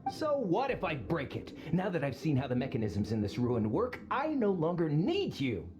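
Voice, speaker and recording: A man's voice: a little high, wildly swooping pitch. An actor playing a greedy, boastful male merchant who grasps at treasure and turns angry and haughty. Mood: indignant. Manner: grasping, quick to anger, haughty. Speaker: expedition sponsor. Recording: game cutscene over music. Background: music.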